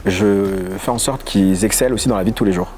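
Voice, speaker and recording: A young man from Paris, a dance guest in a casual interview who talks in a lively, playful way with quick asides. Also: lowish voice. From Paris, of Congolese origin. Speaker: guest, voguing dancer and house leader. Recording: faint music underneath.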